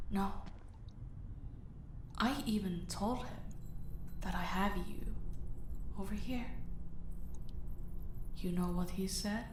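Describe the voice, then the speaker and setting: A woman voicing a dominant, playfully sadistic mafia boss in an audio roleplay, taunting with mock sweetness and slipping into breathy whispers. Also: medium pitch, slight background noise, lightly acted. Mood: smug menace. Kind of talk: roleplay audio drama, slow intimate villain monologue.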